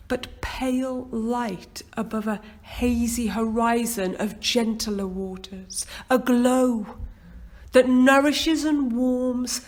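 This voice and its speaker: Middle-aged woman poet, slightly high voice, unhurried reflective recitation. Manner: slow, measured, expressive recitation. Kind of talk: poetry reading.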